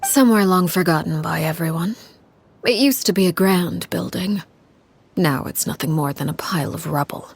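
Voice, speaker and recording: A cold, composed matriarch from a story-driven game, her voice low-set and husky with a breathy hush. She speaks slowly and quietly, in full control of every word. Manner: measured, calm, quietly commanding. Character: cold, composed woman leading a household of orphans.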